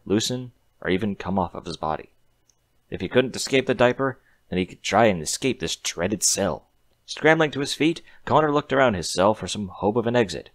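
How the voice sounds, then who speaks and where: A man with a fairly low voice reads scripted fiction as narrator. His delivery is expressive, with very animated intonation. The recording is close and dry. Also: dry recording.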